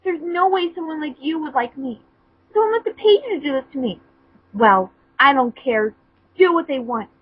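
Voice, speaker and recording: A woman's voice: sits high, lively intonation, normal speed, dull audio, slight background noise, voice pushed up. A woman voicing a hurt, easily angered teenage anime girl in an amateur fan fiction reading. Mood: upset, shouting.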